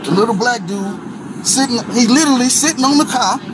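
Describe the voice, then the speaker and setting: A man's voice: very high voice, fairly quick, noisy background. A man in his forties talking heatedly with police outdoors, rambling and restarting as he explains himself in slang. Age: 40s.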